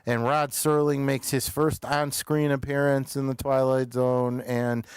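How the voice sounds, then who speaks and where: A man hosting a show, chatting casually through episode reviews in a halting way, pausing often mid-thought. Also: medium pitch, little pitch movement, clean tone, no room sound.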